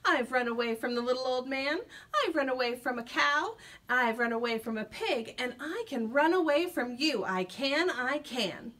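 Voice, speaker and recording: A woman's voice: a little high, expressive, fairly bright tone. A woman doing a cocky little gingerbread boy. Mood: boastful. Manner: sing-song taunting, quick.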